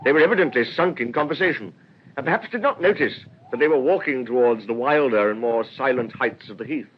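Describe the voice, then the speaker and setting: A man's voice: mid-range voice, expressive, quick pace, lightly acted. A man playing the narrator of a detective radio drama, reading scripted literary prose. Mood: descriptive. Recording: muffled recording with faint noise.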